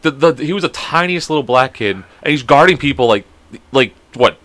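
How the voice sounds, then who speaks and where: Man co-hosting from home: bright, animated delivery, foul-mouthed, joking. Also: mid-range voice, normal speed, dry recording. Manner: crude, joking, swears a lot. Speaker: podcast co-host. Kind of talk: casual chat.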